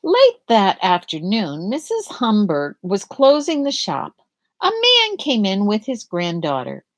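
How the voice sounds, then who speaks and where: A woman reading a picture book aloud to young children, with dramatic, deliberate phrasing and questions to the listeners. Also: medium pitch, wildly swooping pitch.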